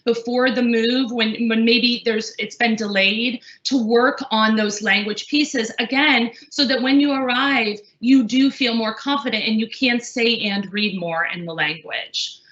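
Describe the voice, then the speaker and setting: Bright-voiced woman, echoey dull call. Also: a little high, measured pace, hardly any pauses. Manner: earnest, many fillers and restarts. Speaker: language trainer. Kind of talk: webinar panel discussion.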